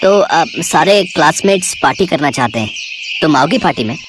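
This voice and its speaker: A woman's voice: sits low, expressive, fairly quick, background noise, pitched below the performer's own voice. A woman playing a shy, smitten young male student in a story, humble and nervously stammering. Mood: nervous, bashful.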